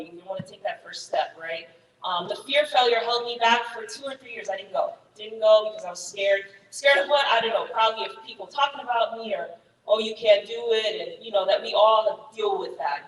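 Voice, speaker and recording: A woman in her thirties, raised in Germany with German as her first language, telling her Army career story to fellow soldiers in a candid, energetic way. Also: medium pitch, reverberant, big-room sound, far from the mic, slight background noise, poor audio. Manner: candid, energetic, many fillers. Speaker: Army first sergeant, guest speaker. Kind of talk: personal story talk to soldiers.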